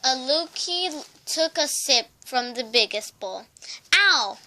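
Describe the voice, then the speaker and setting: A woman's voice: high voice, lively intonation, slow pace, bright, forceful tone, slight background noise. A young woman reading a children's storybook aloud, carefully, occasionally stumbling and repeating words. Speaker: storybook reader.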